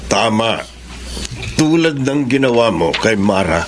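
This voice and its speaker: A man's voice: lowish voice, sing-song, unhurried. An actor playing an easygoing, kindly family man in a Filipino radio drama. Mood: friendly, approving.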